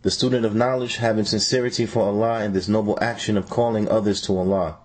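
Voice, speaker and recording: A man reading out a translated religious lecture in a measured way as a translator. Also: fairly low pitch, steady tone. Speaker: translator reading an Islamic scholar's answers.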